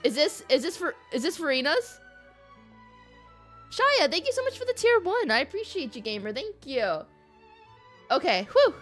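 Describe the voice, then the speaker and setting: A woman streaming a game with a high, very animated voice. She rambles playfully and expressively to her chat in casual commentary, with music faintly behind. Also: moderate pace, long pauses. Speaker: livestreamer.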